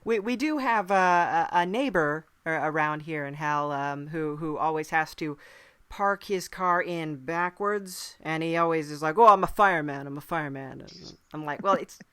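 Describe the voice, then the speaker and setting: A woman plays a wry podcaster from Hell in a lowish voice. The delivery is deadpan and hesitant, like improvised comedy storytelling. The sound is dry and close. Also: normal speed, clear, resonant tone, no echo. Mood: wry, halting. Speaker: podcast host.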